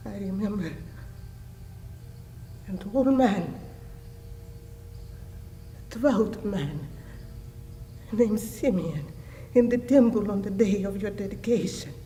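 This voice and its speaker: Woman doing a grieving mother in a stage monologue, solemn n emotional, super slow with long breathy pauses, mellow voice. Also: medium pitch, expressive, slight background noise. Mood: tender, hushed reverence.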